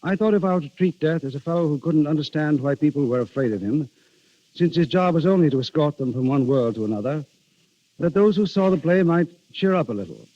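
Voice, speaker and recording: Mellow, higher-voiced man on vintage radio. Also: animated, fairly quick. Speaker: guest playwright. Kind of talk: scripted 1930s radio interview. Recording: muffled 1930s radio broadcast.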